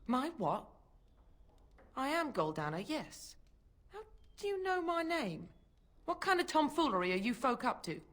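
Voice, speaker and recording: A woman's voice: high voice, sing-song, a little slow, pauses often, fairly bright tone, exaggerated voice. An actress playing a bitter, suspicious working-class mother in a video game, accusing and scornful in folksy, ungrammatical speech.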